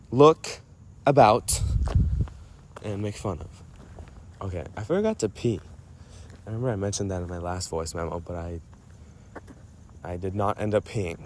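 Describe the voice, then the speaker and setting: A man's voice: lowish voice, a little mellow, a little hiss or hum. Rambling man telling stories in a voice memo. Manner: digressive, often interrupting himself and losing his thread. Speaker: storyteller recording a personal voice memo. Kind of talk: rambling casual monologue addressed to a friend.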